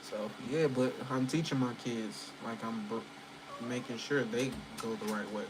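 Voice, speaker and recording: A man's voice: medium pitch, fairly even intonation, a little slow, noisy background. A man in his early thirties chatting loosely at home with viewers, rambling, full of fillers and swearing. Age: 30s. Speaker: channel host chatting with viewers. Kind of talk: casual livestream chat.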